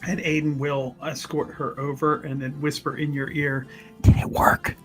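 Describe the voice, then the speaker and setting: A man playing a tabletop game, improvising his character in a casual, halting, conversational way. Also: a little high, flat delivery, a bit bright, faint music behind.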